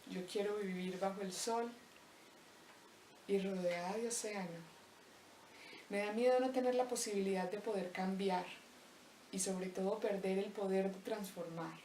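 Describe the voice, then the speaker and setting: A young woman from Colombia with a Paisa accent, slowly reciting a reflective spoken-word poem with deliberate pauses. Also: mid-range voice, steady tone, warm tone, a little hiss or hum. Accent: Paisa Colombian Spanish. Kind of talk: spoken-word poetry monologue. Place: empty abandoned house. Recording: roomy echo, voice distant from mic.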